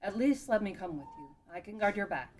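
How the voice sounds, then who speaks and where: A woman's voice: medium pitch, lively intonation, brisk, a little mellow, close to an everyday voice. A woman playing a stern, protective warrior guardian in an amateur fantasy table read, blunt and firm. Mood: scolding. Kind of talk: amateur table read of a game fan script.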